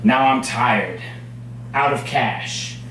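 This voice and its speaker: A man performing his own poetry at a live reading, with measured, dramatic phrasing and deliberate pauses. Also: medium pitch, a bit bright. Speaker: poet reading own work. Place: indoors at a cafe poetry reading. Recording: very echoey room, distant mic, faint noise.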